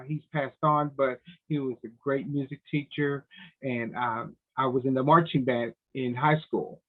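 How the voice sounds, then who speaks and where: An older man from the United States, the guest in an interview on a video call, speaking in a measured way with many pauses. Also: medium pitch. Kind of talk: relaxed interview answers. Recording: tinny phone-like line.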